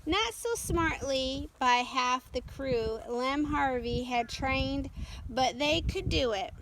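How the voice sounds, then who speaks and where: A woman reading a storybook aloud as narrator, carefully and haltingly, with stumbles and self-corrections. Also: sits high, animated, background noise. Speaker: storytime reader.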